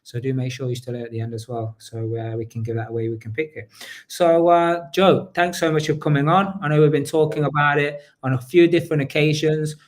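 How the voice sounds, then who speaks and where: A man's voice: on the high side, fairly quick. A man hosting a live online talk show, talking energetically to viewers and his guest, often repeating himself. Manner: energetic, repeats himself, asks the audience to engage. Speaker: host.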